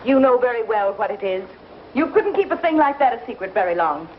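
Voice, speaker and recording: A woman's voice: fairly high pitch, very animated, fairly quick. An actress playing a scolding, self-righteous frontier mother in a 1950s television western, accusing and emphatic. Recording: noisy muffled 1950s television soundtrack.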